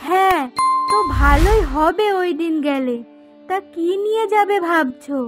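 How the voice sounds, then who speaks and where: Woman voicing very high, bossy cartoon wife. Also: animated, a little slow, over loud music. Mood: persuasive. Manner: pointed, questioning, directive. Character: curt daughter-in-law, or bossy persuasive wife. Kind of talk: cartoon dubbing.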